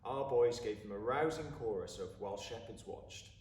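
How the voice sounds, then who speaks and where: A man reading a story aloud with expressive, deliberate, dramatic phrasing. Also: fairly low pitch. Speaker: story reader. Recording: roomy, distant mic, faint background noise.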